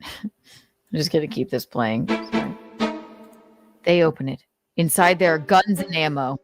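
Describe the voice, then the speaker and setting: A woman's voice: low-pitched, unhurried, over music. A woman livestream host doing a dramatic reading, breaking off into sweary, sarcastic casual commentary. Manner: sweary, sardonic asides.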